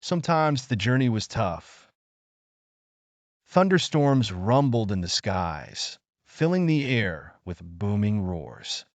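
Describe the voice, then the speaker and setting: A man's voice: fairly low pitch, sing-song, slow, no room sound, lo-fi sound. A man narrating a scripted children's bedtime story as a storyteller.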